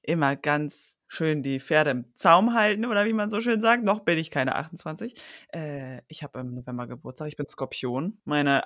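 A woman's voice: low-pitched, animated, moderate pace, a bit bright, dry recording, clean studio sound. A woman in her late twenties from northern Germany, chatting casually and informally as a podcast co-host, rambling along with some self-deprecation. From Schleswig-Holstein, northern Germany.

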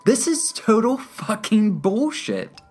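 An audiobook character acted out by a woman: a brash, defiant young man in a psychiatric ward. His delivery is indignant and emphatic, pushed loud and raised well above the narrating voice, with music underneath. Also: sits low, very animated, unhurried, pitched above the performer's own voice, exaggerated voice. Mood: outraged, profane.

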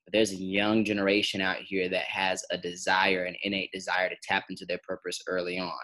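Young man, pastor, very low voice, flat delivery, earnest interview answers. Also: a little fast, rough recording. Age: 20s. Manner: earnest, repetitive phrasing.

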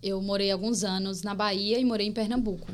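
A young woman from Paraíba with a mixed Northeastern Brazilian accent, chatting casually as a podcast guest. She tells her stories in a lively way, often turning to the listeners, at an ordinary, medium pitch. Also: a little fast, slight background noise. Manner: lively storytelling, often addressing listeners as "gente".

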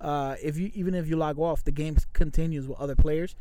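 A man's voice: a little high, steady tone, quick pace, dark tone, no room sound. A man co-hosting a casual podcast, speaking bluntly and restarting his sentences often. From United States. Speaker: podcast co-host.

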